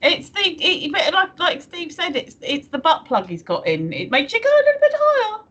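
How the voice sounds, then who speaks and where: A woman's voice: very high voice, wildly swooping pitch, moderate pace, a bit bright, dull audio. A woman co-hosting a casual chat show, giggly and hesitant, repeating words as she thinks. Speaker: co-host. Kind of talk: casual banter.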